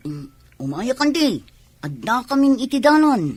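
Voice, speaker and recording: Woman acting young niece, Ilocano accent, raised pitch, husky, wary, deferential, radio drama. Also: very animated, normal speed, a little mellow. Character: reluctant young working niece, polite but wary.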